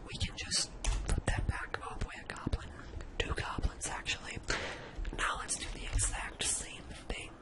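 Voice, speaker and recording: A person speaks almost entirely in a breathy, unvoiced whisper. The delivery is that of a casual ASMR screen-share tutorial, thinking aloud along the way. The hushed sound stays soft and airy from phrase to phrase. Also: poor audio. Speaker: host of an ASMR spreadsheet tutorial.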